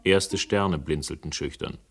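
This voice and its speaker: An actor playing a world-weary, laconic first-person narrator in a radio crime drama, with dry irony. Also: male voice, a bass voice, unhurried. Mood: reflective, dry. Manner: laconic, literary, dryly ironic. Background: music.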